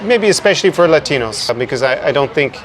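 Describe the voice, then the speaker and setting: A man hosting a casual podcast interview, chatty and quick with frequent restarts, recorded outdoors in a city. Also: on the high side, expressive, a little hiss or hum. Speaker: podcast host.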